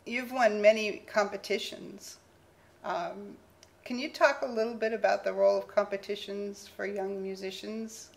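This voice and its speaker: A woman interviewing a musician, asking measured, polite questions. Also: mid-range voice, steady tone, gravelly, slight background noise.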